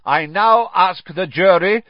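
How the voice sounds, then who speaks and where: A man's voice: high voice, slow pace, dry recording, lo-fi sound, pitched above the performer's own voice. Man as a bellowing, pitiless older judge. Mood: bellowing condemnation. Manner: loud, clear, bellowing, formal. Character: stern, hate-filled older male judge condemning prisoners.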